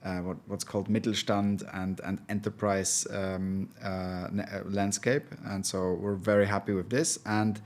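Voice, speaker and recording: Low-voiced man, hesitant. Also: level tone, a little slow, hardly any pauses. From Switzerland. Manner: thoughtful, hesitant, many filler sounds. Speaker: venture capital partner, guest. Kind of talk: casual interview talk.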